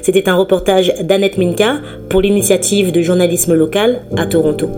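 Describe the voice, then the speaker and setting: A woman reporter voicing a scripted radio news report in an even, measured way. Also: lowish voice, over music.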